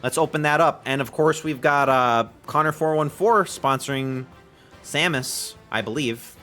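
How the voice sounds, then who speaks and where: A young man hosting a game stream, giving lively, excitable play-by-play and laughing often with his co-host. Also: on the high side, unhurried, faint music behind. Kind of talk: casual game commentary.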